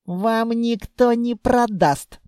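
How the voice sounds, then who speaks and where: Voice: mid-range voice, animated, moderate pace, mellow, dry recording, studio quality, voice pushed up, lightly acted. Чтец озвучивает молодую продавщицу-блондинку из аудиокниги, заносчивую, мелочную сплетницу с манерной речью. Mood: huffy, spiteful. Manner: affected, huffy, confiding. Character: snooty young blonde saleswoman, gossipy, petty, xenophobic.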